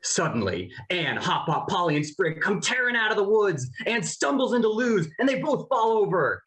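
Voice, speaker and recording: Lively narrator of cartoon stage directions. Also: medium pitch, moderate pace. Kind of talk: scripted narration of stage directions at table read.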